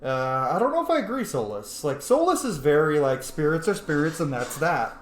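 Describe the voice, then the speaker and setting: A man's voice: on the high side, big pitch swings, moderate pace, roomy sound, a little hiss or hum. A man giving casual commentary on a game he plays, informal and sweary, thinking aloud. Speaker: gamer commentating on his playthrough.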